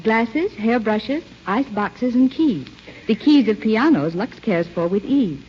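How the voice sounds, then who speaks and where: A woman's voice: a little high, animated, slow pace. A woman delivering scripted, rhymed advertising patter on a 1930s radio show. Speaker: commercial spokeswoman. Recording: old muffled radio, faint noise.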